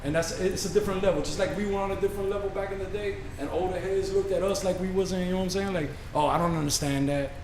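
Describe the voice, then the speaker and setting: A male co-host talks very quickly in blunt, slangy, swear-laced chat. His voice sits a bit high, and the room rings around it. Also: fairly even intonation. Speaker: podcast co-host. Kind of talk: casual street-slang chat. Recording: echoey room with faint noise.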